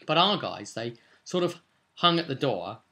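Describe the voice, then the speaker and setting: Man, twenties, mid-pitched voice, casual vlog style, unscripted, conversational, rambling. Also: sing-song, moderate pace, gravelly, sharp tone. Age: 20s. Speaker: tabletop role-playing vlogger. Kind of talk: casual unscripted game session recap. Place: small furnished room at home.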